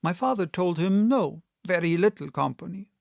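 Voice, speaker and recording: A man hosting and reading audiobooks, speaking deliberately in short, separated phrases. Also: high voice, expressive, creaky, a little mellow, no room sound, clean studio sound. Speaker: audiobook reader and channel host. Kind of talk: casual introduction and expressive audiobook narration.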